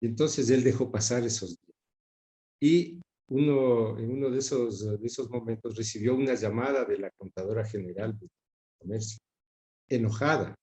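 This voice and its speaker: A middle-aged Ecuadorian man speaks in a mellow, warm voice of average pitch. He recollects in interview style, halting often as he searches for the right word. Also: low-quality recording. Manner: halting, pausing to search for words. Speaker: interview guest recalling his father's career. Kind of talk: reflective spoken recollection in an interview.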